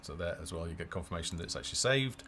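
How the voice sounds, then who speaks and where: Male game developer, deep voice, unhurried explanatory livestream commentary over music. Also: lively intonation, bright, forceful tone. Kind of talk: casual live game demo commentary. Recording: music behind the voice.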